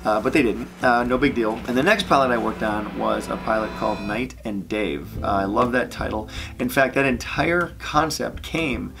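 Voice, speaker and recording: A man's voice: medium pitch, normal speed, creaky. A man talking casually and enthusiastically, like an animation creator telling work stories on his vlog. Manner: enthusiastic, chatty. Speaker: animation creator and video host.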